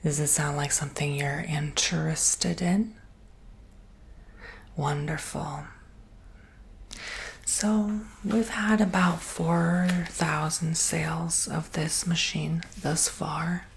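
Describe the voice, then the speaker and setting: A man playing a soft-spoken, earnest robot salesman in a whispered ASMR roleplay, breathy and gently reassuring. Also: a little high, steady tone, slow pace, slight background noise.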